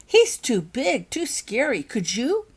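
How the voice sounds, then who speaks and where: A tearful young schoolgirl, as a woman narrator acts her out from a storybook, pleading and wailing. Her voice jumps high and swings about in panic. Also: huge pitch swings, slow, husky, full character voice. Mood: panicky, pleading. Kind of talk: storybook character voice.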